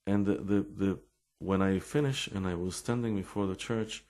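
A middle-aged Israeli man with an accent, telling his life story in halting English full of restarts and fillers. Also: deep voice, darkish tone. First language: Hebrew. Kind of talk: personal storytelling and explanation.

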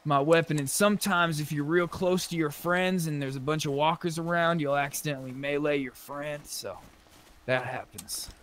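A man's voice: fairly high pitch, moderate pace, a bit bright. A man chatting casually in character during an online survival roleplay game, talking over a gaming voice chat microphone. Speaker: player in a zombie survival roleplay. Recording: clearly noisy game voice chat.

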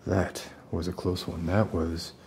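A man in his forties playing an unstable post-apocalyptic survivor in an ASMR roleplay, coaxing softly in halting, rambling phrases. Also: deep voice, little pitch movement, vocal fry, slight background noise. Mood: weary, reassuring.

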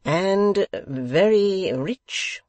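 A reader voicing a pompous, condescending English gentleman host who talks slowly, loudly and overenunciates as if to a deaf child. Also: high voice, expressive, dry recording, voice pushed up, heavily acted.

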